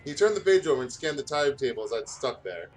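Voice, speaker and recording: A man's voice: medium pitch, big pitch swings, fast, faint music behind. A man who hosts let's plays, reading fan fiction aloud and chatting casually. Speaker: let's play host.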